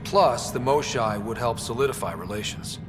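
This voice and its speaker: A man's voice: lively intonation, moderate pace, music in the background, close to an everyday voice. An actor playing an easygoing young male space explorer in a video game, talking in a casual, wry way. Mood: firm, earnest. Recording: noisy, echoing hall, ambient rumble.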